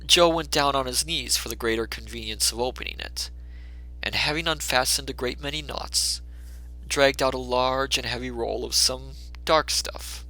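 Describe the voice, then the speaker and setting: A man's voice: medium pitch, normal speed, bright, forceful tone, low-quality recording. A man narrating a classic tale as a storyteller, in a dramatic, scripted reading style.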